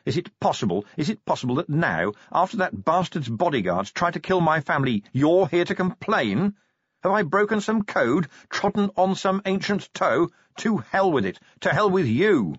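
Man doing a blunt middle-aged watch commander, raised husky voice, lively delivery, dull dry audiobook sound. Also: mid-range voice, a little fast, dry recording, pitched above the performer's own voice. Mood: furious. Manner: blunt, commanding, scornful when angered. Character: gruff tough middle-aged male city watch commander. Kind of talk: audiobook character voice.

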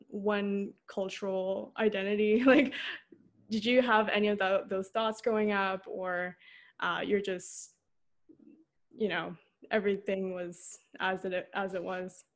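A woman's voice: mid-range voice, level tone, slow. A young woman interviewing a guest on a podcast, asking rambling questions full of fillers. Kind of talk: casual interview talk.

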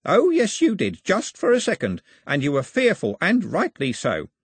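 A man performs a storybook's old toothless holy man, grandly superstitious and sly. The voice is husky and lifted high, swooping as he declaims and gloats. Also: huge pitch swings, normal speed, no echo, heavily acted. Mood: gloating.

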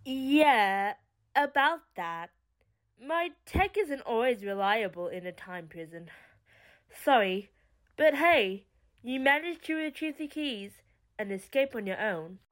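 A woman's voice: a little high, animated, slow pace. A woman playing a smug, sly betrayer in an audio drama, teasing and flippant.

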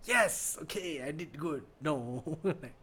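A man from Malaysia with a Malaysian English accent, hosting a podcast in casual chat, blunt and animated, swearing freely. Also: fairly high pitch, takes it slowly, husky. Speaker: podcast host.

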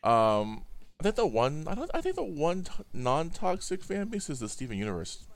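A man's voice: fairly high pitch, wildly swooping pitch, moderate pace, dry recording. A young man hosting a podcast in casual chat, loose and joking, laughing often. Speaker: podcast host.

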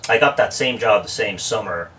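Dublin-accented man, 40s, low voice, chatty joking guest, slightly distant mic. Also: normal speed, fairly bright tone, slight background noise. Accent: Irish, Dublin. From Ireland. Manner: chatty storyteller, wry jokes, frequent restarts. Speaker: guest, real estate expert. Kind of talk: casual interview chat.

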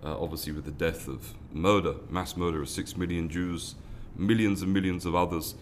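A man's voice: low-pitched, steady tone, moderate pace, slight background noise. A man, a foundation founder giving earnest interview answers, often restarting and repeating words. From London, England. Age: 30s.

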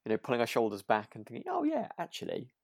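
A woman's voice: extremely low pitch, huge pitch swings, fast talker, creaky, a little mellow, no room sound, studio quality. A woman co-hosting a podcast, chatting casually and agreeably as she hesitantly thinks aloud. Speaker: podcast co-host. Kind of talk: relaxed casual chat about a radio drama.

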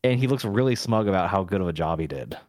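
Deadpan low-voiced podcast co-host man. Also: fast, no echo, clean studio sound. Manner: deadpan, rambling, lots of fillers. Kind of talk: casual chat.

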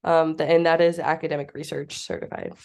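A young woman with a fairly low voice speaks as a podcast guest. She is bubbly and chatty, fills her sentences with "like" and laughs easily. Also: flat delivery, a little fast, darkish tone. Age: young adult. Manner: bubbly, says "like" a lot, laughs often. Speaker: podcast guest, graduate student. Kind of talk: casual interview chat.

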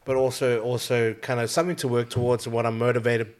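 A man's voice: mid-range voice, little pitch movement, quick pace, darkish tone. A man, raised there, hosting a casual podcast from home and rambling with lots of fillers and restarts. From New Zealand. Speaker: podcast host.

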